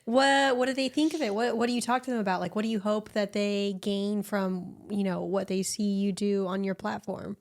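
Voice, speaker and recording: A woman's voice: mid-range voice, steady tone, normal speed, few pauses. A woman co-hosting a podcast, asking curious, encouraging questions in a casual way. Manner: curious, encouraging, says yeah a lot. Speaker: podcast co-host.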